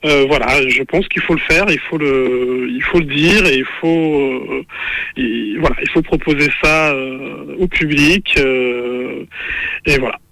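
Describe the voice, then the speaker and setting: A man answering questions in a radio interview, halting and full of fillers and restarts. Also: on the high side, sharp tone, dry recording, poor audio. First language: French. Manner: hesitant, many fillers and restarts. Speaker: guest artist, singer and actor.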